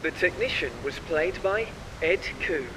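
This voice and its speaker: A man's voice: high-pitched, expressive, a little slow, background noise. A man reading scripted closing credits as the announcer of a podcast.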